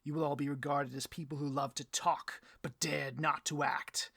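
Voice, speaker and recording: A bloviating, vain male supervillain boss for a comedic audio roleplay. The voice is slightly high and husky, recorded close and dry. He speechifies with theatrical grandeur and bristles petulantly. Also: sharp tone, no echo, full character voice.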